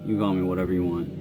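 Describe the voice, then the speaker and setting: A man with a low voice talks loosely into his phone during a live stream, answering fans in a laid-back, rambling way. Faint music plays behind him. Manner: laid-back, lots of fillers and laughs. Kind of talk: casual live-stream chat reading fan comments.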